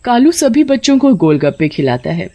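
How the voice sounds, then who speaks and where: A woman's voice: medium pitch, big pitch swings, normal speed, dark tone, muffled recording. A woman storyteller reading scripted narration for a tale.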